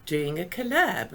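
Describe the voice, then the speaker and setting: An older woman with a South African English accent, hosting a chatty video call from home, enthusiastic and quick to laugh. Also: on the high side, sharp tone. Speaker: host. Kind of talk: casual chat. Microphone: video call with earphones.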